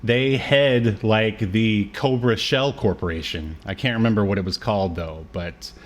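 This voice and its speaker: A man's voice: on the low side, moderate pace. A man co-hosting a podcast, chatting casually about pop culture with hesitant, rambling phrasing and trailing asides. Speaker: podcast co-host.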